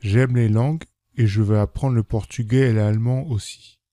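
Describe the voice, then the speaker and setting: Mellow-voiced man reading a French text. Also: mid-range voice, fairly even intonation, moderate pace, no room sound, clean studio sound. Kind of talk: scripted reading for learners.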